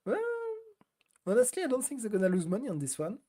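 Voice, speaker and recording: A woman's voice: medium pitch, big pitch swings, fast talker, mellow. A middle-aged woman co-hosting a casual gaming podcast, talking loosely with many fillers and hesitations. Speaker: podcast co-host.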